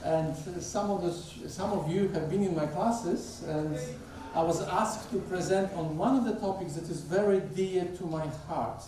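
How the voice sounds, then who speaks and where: A man's voice: high voice, moderate pace, few pauses, distant mic, noisy background. Polish-accented man preaching in an echoey church. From Poland. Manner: chatty and informal, asks the congregation questions. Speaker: guest speaker, theology professor. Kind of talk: conversational sermon. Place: church sanctuary. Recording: echoey church hall, picked up from afar.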